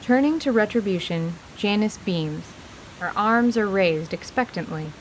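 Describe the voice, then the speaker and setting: A woman narrating scripted fantasy in a measured, literary storytelling manner. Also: medium pitch, lively intonation, slight background noise, poor audio.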